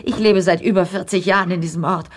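A woman's voice: lowish voice, fairly even intonation, quick pace, lightly acted. An actress playing an older, gossipy small-town woman in an audio drama, talkative and emphatic. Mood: chatty, gossipy.

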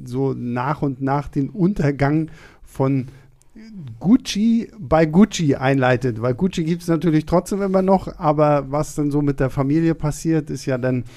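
Male podcast host, mellow and slightly high, casual and hesitant studio chat. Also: unhurried. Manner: loose, hesitant, many fillers.

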